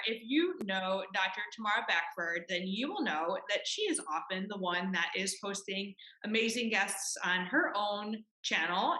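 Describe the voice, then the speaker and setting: A woman's voice: mid-range voice, bright, forceful tone. A woman hosting an interview podcast, introducing her guest with upbeat enthusiasm. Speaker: podcast host. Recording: echoey room.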